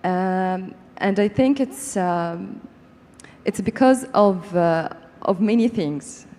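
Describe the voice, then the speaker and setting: A woman content creator speaking haltingly as a panelist about her work, on stage in a large hall. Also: medium pitch, animated, dark tone. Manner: halting, hesitant delivery. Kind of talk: panel talk. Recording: reverberant hall, stage sound.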